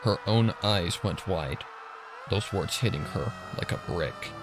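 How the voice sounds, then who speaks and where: A man's voice: sits low, measured pace. A man reading a scripted story as a dramatic narrator. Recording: narration over music and sirens.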